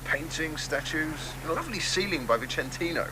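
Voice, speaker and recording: An actor playing an effusive, fawning admirer in a radio drama. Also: male voice, a little high, brisk, close to an everyday voice. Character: gushing admirer, effusive and smug. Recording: radio broadcast with steady background noise.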